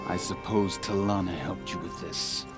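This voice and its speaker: An actor playing a haughty, contemptuous hunting lodge leader in a video game, with a sneering, pompous delivery. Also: male voice, low voice, fairly even intonation, moderate pace, music in the background, close to an everyday voice. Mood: grudging.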